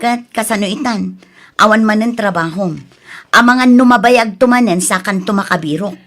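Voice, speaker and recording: A woman's voice: medium pitch, normal speed, a bit bright, natural voice. A woman with an Ilocano accent playing an exasperated, overburdened daughter and elder sister in an audio drama. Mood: exasperated scolding. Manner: exasperated, reproachful. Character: overburdened, frustrated dutiful daughter and elder sister, about thirty. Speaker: drama actress.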